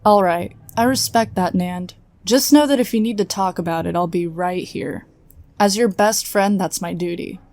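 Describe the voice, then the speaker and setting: A woman's voice: on the low side, moderate pace, darkish tone, lightly acted. An actress playing a loyal female guardian who speaks in a dry, teasing but supportive way. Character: loyal wry female guardian, best friend. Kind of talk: audio drama acting.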